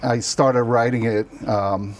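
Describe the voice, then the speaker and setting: A middle-aged man from Cuba who grew up near New York, telling personal stories casually and a little haltingly as an interview guest in a TV studio. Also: mid-range voice, steady tone. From born in Cuba, raised in the New York area from childhood.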